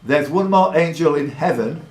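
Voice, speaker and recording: A man's voice: fairly high pitch, moderate pace, darkish tone. A man hosting a live pub quiz, reading questions formally and chatting jokily in between. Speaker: quizmaster. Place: indoors in a pub. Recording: echoey pub room, music behind.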